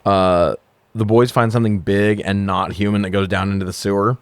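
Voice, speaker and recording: A man's voice: lowish voice, steady tone, a little fast, smooth, no room sound. A man co-hosting a casual movie-review show, recapping plots in a sweary, irreverent, joking way. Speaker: podcast co-host.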